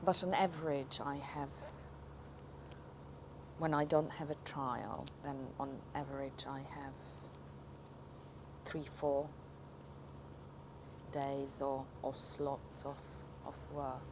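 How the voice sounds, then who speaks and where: A middle-aged woman with a Hungarian accent tells her own story casually and haltingly, thinking aloud as a documentary interviewee. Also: a bass voice, steady tone, noisy background. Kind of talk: casual personal storytelling to camera.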